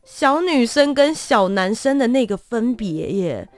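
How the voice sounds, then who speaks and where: A woman's voice: a little high, very animated, measured pace, no room sound, soft background music. A woman with a Taiwanese accent hosting a casual, conversational interview. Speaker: interview host.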